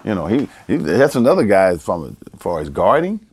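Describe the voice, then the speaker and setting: A man in his sixties from Baltimore, a guest telling stories from his life in a relaxed way, chuckling and repeating words for emphasis. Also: on the low side, moderate pace, slightly rough. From Baltimore, Maryland. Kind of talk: casual storytelling interview.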